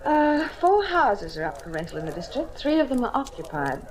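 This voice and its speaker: A woman's voice: on the high side, sing-song, a little fast, crowd noise, poor audio. An actress playing a detective's playful young female sidekick in an old radio mystery, wry and lightly sceptical. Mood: matter-of-fact.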